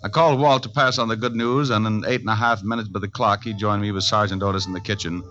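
An actor playing a tough, wisecracking private detective in 1940s radio style, with a wry, slangy delivery. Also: male voice, fairly low pitch, steady tone, fast talker, fairly bright tone, soft background music, close to an everyday voice. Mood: wry narration. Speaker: lead actor and narrator. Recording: dull vintage 1940s radio recording.

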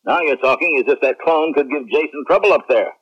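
A man acting a skeptical, authoritative military general in a 1970s radio drama, husky and probing. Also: on the high side, a little fast. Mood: skeptical, firm. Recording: narrow, muffled 1970s radio sound.

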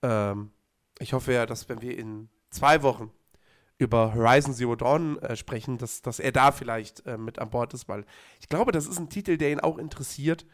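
Hoarse man with a cold. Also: medium pitch, moderate pace. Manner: rambling, many fillers and restarts. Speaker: podcast co-host. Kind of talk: casual chat.

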